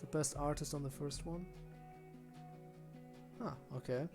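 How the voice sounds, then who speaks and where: A man's voice: fairly high pitch, fairly even intonation, unhurried, music in the background. A German man with a German accent, chatting casually with viewers during a live game stream, rambling and often restarting his sentences. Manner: rambling, with frequent restarts while answering chat. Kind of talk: casual live-stream chat while gaming.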